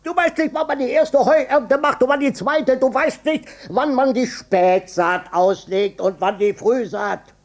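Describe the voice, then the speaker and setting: A man's voice: very high-pitched, measured pace, hardly any pauses. An actor playing a stubborn, evasive old father and former wartime policeman with a short temper, in a German radio drama. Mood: furious tirade. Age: older. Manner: blustering, repetitive, swinging from muttering to shouting.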